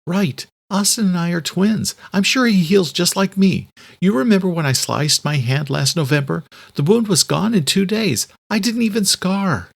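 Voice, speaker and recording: A man voicing an earnest, quick-thinking adult woman in an audiobook, explaining things insistently. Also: high voice, animated, vocal fry, pitched above the performer's own voice, heavily acted. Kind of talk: audiobook character dialogue.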